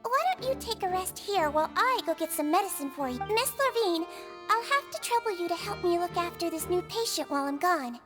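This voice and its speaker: A woman voicing a small, gentle nurse in a video game, speaking in a soothing, polite and measured way. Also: very high-pitched, animated. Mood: calm, clinical. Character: small gentle head nurse, female, calm and reassuring. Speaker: medic. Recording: music behind the voice.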